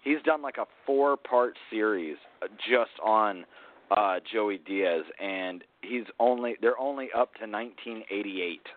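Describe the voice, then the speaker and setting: A man from Georgia co-hosting a podcast, talking haltingly with sarcastic asides and frequent swearing. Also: on the low side. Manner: halting, lots of fillers and restarts, sarcastic. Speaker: podcast co-host. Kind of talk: casual profane podcast banter. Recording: dry, tinny phone-like sound.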